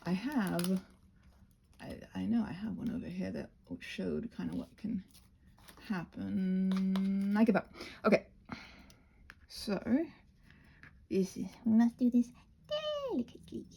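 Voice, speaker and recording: Gravelly, husky woman rambling through crafts. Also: medium pitch, slow pace, long pauses, dark tone. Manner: hesitant, meandering, self-deprecating asides. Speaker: craft channel host. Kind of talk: casual rambling craft demonstration.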